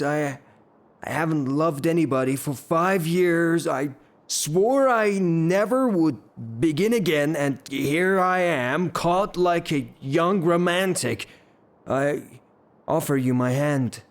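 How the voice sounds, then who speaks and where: An actor playing a blustering, lovestruck, hot-tempered gentleman landowner in an audio drama, with a halting, theatrical delivery. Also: a little high, lightly acted. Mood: pleading, ashamed. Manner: theatrical, halting, repeats himself.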